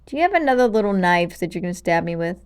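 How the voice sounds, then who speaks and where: A woman's voice: on the low side, very animated, fast talker, smooth. A woman playing a defiant, wry male hero who speaks firmly and dryly in a children's storybook reading. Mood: annoyed, wary. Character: heroic adult man, defiant, wry, exasperated.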